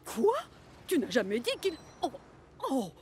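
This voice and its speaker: A woman voicing a lively female train engine in a children's cartoon, very expressive. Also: on the high side, a little slow. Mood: indignant. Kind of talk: dubbed children's cartoon acting. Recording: roomy, loud music behind.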